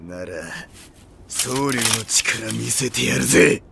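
An actor playing a brash, hot-blooded young samurai warlord in an anime audio drama, with a rough, swaggering, defiant delivery. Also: male voice, lowish voice, slow, background noise, exaggerated voice. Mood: cocky, defiant. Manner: rough, swaggering, drops English words.

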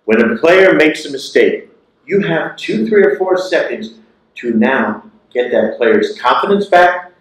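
A man's voice: fairly high pitch, animated, unhurried. A man in his sixties giving a motivational keynote speech through a lapel mic, emphatic and repeating his key phrases. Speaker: keynote speaker. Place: large lecture hall. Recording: echoey lecture hall.

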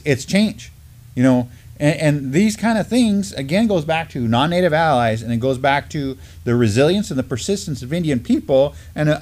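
A middle-aged man co-hosting a community news show, talking casually and haltingly as he thinks aloud. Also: fairly high pitch, darkish tone. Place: indoor studio desk, backdrop.

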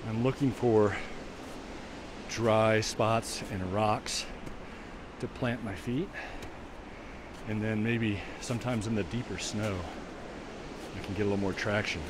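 A man's voice: lowish voice, steady tone, slow pace, long pauses. Winded man narrating a snowy hike. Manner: chatty, talks between breaths while climbing. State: winded from walking uphill. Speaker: vlogger and virtual walk guide. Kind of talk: casual narration while hiking. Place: outdoors on a snowy trail by a waterfall. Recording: outdoor recording with loud wind and water noise.

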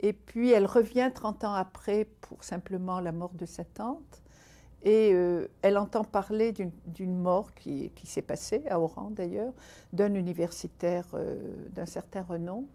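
A woman from Algeria whose mother tongue is Arabic talks through her thoughts in an indoor interview. Her voice is soft and dark in tone, and she picks each phrase slowly, pausing and starting over as she goes. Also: mid-range voice. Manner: thoughtful, measured, many pauses and restarts. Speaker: writer interviewed. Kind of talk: reflective interview talk. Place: indoor room by a window. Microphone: unseen, seated interview framing.

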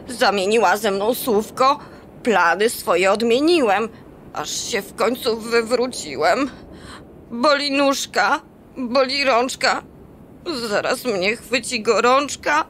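A woman's voice: a little high, expressive, takes it slowly, fairly bright tone, slight background noise. A woman voicing a small, homesick young male elf in a rhyming children's radio play, fretful and tearful. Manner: fretful, whiny rhyming complaints.

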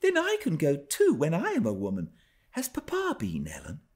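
A man's voice: fairly high pitch, wildly swooping pitch, moderate pace, darkish tone, pitched above the performer's own voice. A man voicing an eager, curious, spirited young girl in a classic storybook reading, pleading and wondering. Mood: gleeful.

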